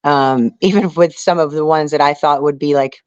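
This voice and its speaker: A woman's voice: sits low, fairly even intonation, moderate pace, darkish tone, clear, resonant tone, no room sound, dull audio. A middle-aged American woman talking as an interview guest in a casual, earnest, rambling way with plenty of "you know". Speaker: interview guest, outdoor survival show contestant and angler.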